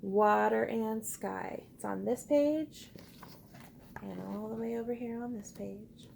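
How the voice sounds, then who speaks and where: High-voiced woman reading aloud to children. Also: slow, gravelly, slight background noise. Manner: encouraging, expressive, addresses young children with simple questions. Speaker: teacher reading a picture book aloud. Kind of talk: interactive children's read-aloud with call-and-response prompts.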